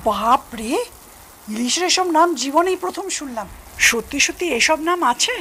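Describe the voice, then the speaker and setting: High-voiced exclaiming mother-in-law. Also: animated, moderate pace, a bit bright. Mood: amazed. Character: sociable older mother-in-law, easily amazed spectator. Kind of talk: Bengali audio drama dialogue.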